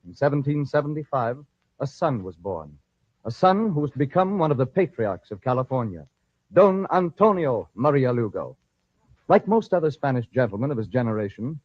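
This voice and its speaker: A man's voice: mid-range voice, big pitch swings, fairly quick, no echo. A man narrating a scripted old-time radio story in a dramatic, florid storytelling manner. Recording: dull, lo-fi old radio recording.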